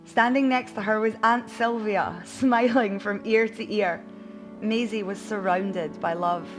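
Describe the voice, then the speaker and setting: A woman narrating a scripted bedtime story in an expressive audiobook style. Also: mid-range voice, measured pace, music in the background.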